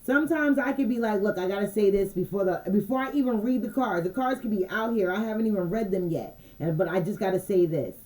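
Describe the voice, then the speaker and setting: A woman with a mellow, relaxed voice talks loosely to her viewers as an intuitive card reader. She repeats phrases and keeps checking that they follow her. Her delivery stays unhurried and conversational. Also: mid-range voice. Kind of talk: casual channeled message livestream.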